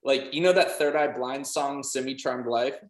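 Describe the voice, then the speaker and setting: A man's voice: mid-range voice, fairly even intonation, moderate pace. A man in a band chatting casually in an interview, emphatic, saying "like" and "literally" constantly. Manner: emphatic, heavy use of "like" and "literally". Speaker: band musician being interviewed. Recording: roomy, echoey sound.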